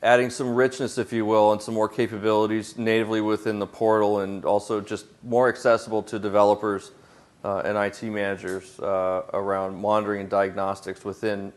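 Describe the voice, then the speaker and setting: A man with a fairly low voice answers audience questions on a conference panel. He talks loosely and informally, filling pauses with "uh", and readily admits what he doesn't know. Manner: casual, self-deprecating, frequent fillers. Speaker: product manager presenting on a panel.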